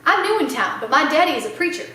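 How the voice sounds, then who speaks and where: A woman's voice: high voice, sing-song, quick pace, pushed and bright, far from the mic, exaggerated voice. A woman voicing an earnest, polite ten-year-old girl, sincere and pleading, in a children's storybook reading. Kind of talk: children's storybook read-aloud. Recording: echoey room.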